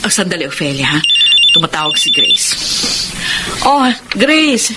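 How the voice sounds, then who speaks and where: A woman's voice: fairly low pitch, very animated, a little slow, very noisy. An actress playing a middle-aged widowed mother in an emotional Filipino radio drama. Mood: cheerful, casual. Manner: emotional, pleading delivery. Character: twice-widowed middle-aged mother, fearful of loving again.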